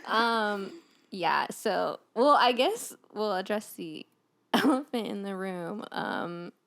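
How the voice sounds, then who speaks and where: A woman's voice: mid-range voice, expressive, takes it slowly, vocal fry, a bit bright. A woman in her late twenties hosting a casual podcast chat in an informal, giggly way. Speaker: podcast host.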